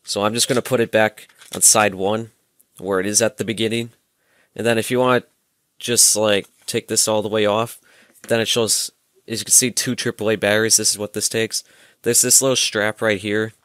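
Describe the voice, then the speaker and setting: Deep-voiced young man. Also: little pitch movement, normal speed, pauses often, a bit bright, no room sound, clean studio sound. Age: young adult. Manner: informal, step-by-step explaining. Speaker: presenter of a gadget tutorial. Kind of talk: casual hands-on demonstration. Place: small room at home, indoors. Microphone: headset microphone.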